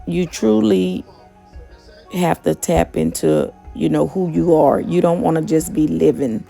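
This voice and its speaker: A woman giving a casual motivational talk in a monotone, conversational way with many fillers. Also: low-pitched, a little slow, creaky, soft background music. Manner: monotone, self-described. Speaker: motivational vlogger and gig worker.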